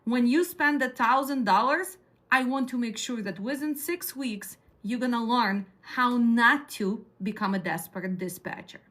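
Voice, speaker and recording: A middle-aged woman with a Ukrainian accent teaches a class in a direct, no-nonsense way. Her voice sits a little high and sounds bright and sharp. She keeps putting questions to her students as she lectures. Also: unhurried. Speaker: dispatch trainer.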